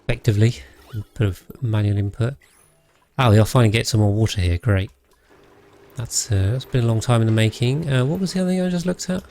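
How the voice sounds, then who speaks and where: A British man with a calm, measured voice, giving casual game commentary and chatting with viewers as he streams. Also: medium pitch. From Britain. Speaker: live streamer, host.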